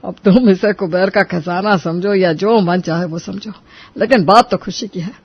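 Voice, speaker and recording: A man acts out a stubborn older father-in-law in a family cartoon tale. He is high-voiced and emphatic with a folksy turn of phrase, on a slightly dull track. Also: fairly even intonation, normal speed, husky, no room sound. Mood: elated. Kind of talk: Hindi moral cartoon story acting. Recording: dull, band-limited track.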